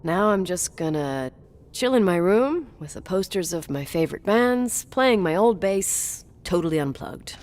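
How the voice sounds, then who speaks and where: Young american woman in a story game, kinda low voice, dry and guarded, natural delivery. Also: expressive, a little slow, a little mellow. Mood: tired, wry. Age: young adult. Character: strong-willed young woman, wry, guarded, back at family hotel. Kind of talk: naturalistic video game voice acting.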